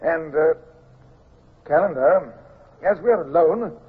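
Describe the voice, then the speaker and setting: An actor playing a stern but kindly British admiral, speaking in a clipped, formal English accent in a radio drama. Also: male voice, fairly high pitch, animated, slow, slightly rough, heavily acted. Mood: formal, musing. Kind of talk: 1950s radio drama acting. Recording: tinny old radio recording.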